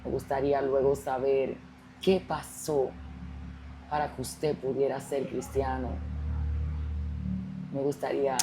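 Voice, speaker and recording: Very deep-voiced woman pastor. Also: level tone, measured pace, long pauses, background noise. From Colombia. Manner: warm devotional phrasing, frequent affirmations. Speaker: pastor and host.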